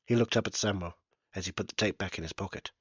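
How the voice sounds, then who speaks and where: A man's voice: sits low, fast, fairly bright tone, breathy edge, dry recording, muffled recording. A man reading aloud from his own novel in a plain, unpolished way. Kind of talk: storybook narration.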